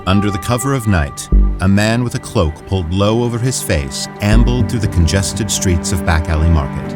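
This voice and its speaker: A man narrating an audio drama as a measured, atmospheric storyteller. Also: deep voice, animated. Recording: loud music under the voice.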